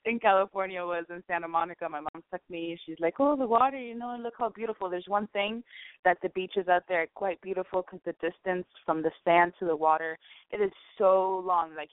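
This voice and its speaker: A woman's voice: fairly low pitch, no room sound. A woman hosting a radio interview show, chatting casually with lots of fillers and restarts. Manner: chatty, many fillers and restarts, laughs often. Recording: tinny phone-line sound, dry.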